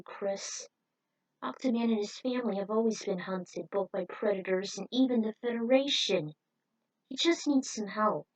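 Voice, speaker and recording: A kind, protective friend in a read-aloud story, played by a woman, with a lifted, higher voice. The delivery is earnest and pleading, standing up for the misunderstood. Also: darkish tone, natural voice, rough recording.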